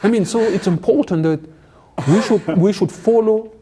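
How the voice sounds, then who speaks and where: High-voiced man urging people to vote. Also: normal speed, noisy background. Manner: earnest, urging. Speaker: panel guest. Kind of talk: panel discussion.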